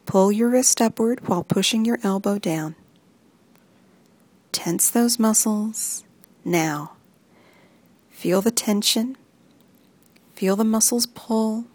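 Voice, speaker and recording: A woman reading a guided muscle relaxation script in soft, even instructions that often sink into a whisper. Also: on the high side, takes it slowly, pauses often, no echo.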